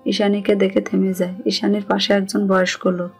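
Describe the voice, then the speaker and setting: A woman's voice: medium pitch, level tone, fairly quick, darkish tone, breathy edge. A woman with a Bangladeshi accent reading scripted narration of a romantic story. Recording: music under the voice.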